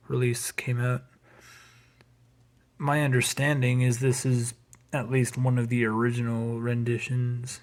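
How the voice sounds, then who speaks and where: A man presents his collection in a loose, unscripted way. His pitch stays fairly flat and even. He rambles a little and drifts into hesitant asides, like someone talking casually through items one by one. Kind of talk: casual unscripted show-and-tell.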